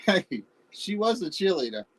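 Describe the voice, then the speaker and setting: A woman playing a defensive, evasive older husband, a Vietnam veteran, halting and exasperated in a naturalistic play reading. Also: medium pitch, big pitch swings. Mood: needling, reluctant. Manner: halting, defensive, repeats words. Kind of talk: virtual staged play reading, naturalistic dialogue.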